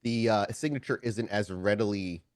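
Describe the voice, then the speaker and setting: A man's voice: lowish voice, moderate pace, no echo, clean studio sound. A man running a tabletop game as storyteller, narrating scenes off the cuff in a wordy way and often rephrasing mid-sentence.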